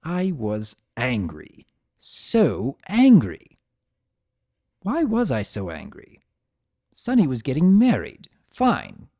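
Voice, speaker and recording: A man voicing a grumpy, possessive, secretly tender chaos creature who narrates his own story in a sardonic, expressive dramatic reading. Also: medium pitch, slow pace, no echo, studio quality, full character voice. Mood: sour, angry. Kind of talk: first-person dramatic reading of fan fiction.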